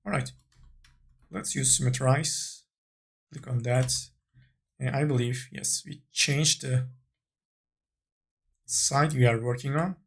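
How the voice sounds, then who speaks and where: Male tutorial narrator, slow and gravelly, unscripted, thinking aloud step by step. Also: mid-range voice. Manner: tentative, thinking aloud while working. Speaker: 3D modeling tutorial instructor.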